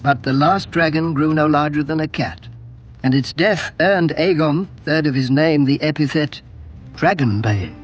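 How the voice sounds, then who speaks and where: Higher-voiced man narrating dark history. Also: lo-fi sound. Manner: measured, dramatic storytelling. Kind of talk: scripted lore narration. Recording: music behind the voice.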